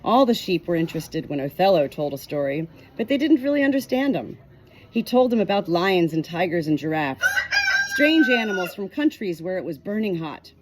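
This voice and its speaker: A woman reading a novel chapter aloud in an expressive storytelling manner, giving short quoted lines a light change of voice. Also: fairly low pitch, moderate pace, background noise. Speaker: audiobook reader.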